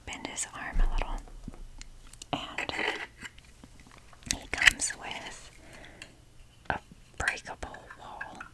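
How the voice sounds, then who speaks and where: Slow, whispering woman doing ASMR. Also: rough recording. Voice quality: breathy, unvoiced whisper. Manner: informal, fannish, wry, mildly sweary. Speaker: ASMR video creator showing a toy collection. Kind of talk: whispered ASMR, casual rambling.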